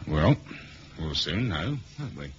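An actor playing a wry, wary spy hero who narrates a 1970s radio drama in a dry, understated way. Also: male voice, a bass voice, big pitch swings, takes it slowly, heavily acted. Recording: dull, hissy old radio recording.

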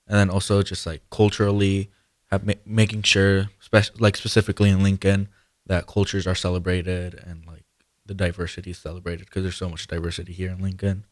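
Low, flat-toned hesitant teenage guy. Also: a little fast, dry recording, studio quality. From Guanajuato, Mexico. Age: teens, high school senior. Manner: hesitant, peppered with "like". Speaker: student guest. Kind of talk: casual interview answers. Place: radio studio.

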